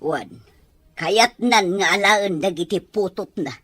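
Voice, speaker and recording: A woman's voice: mid-range voice, slow, a bit bright, breathy edge. A woman playing a worried, fretful older mother of grown sons in an Ilocano radio drama, speaking emphatically.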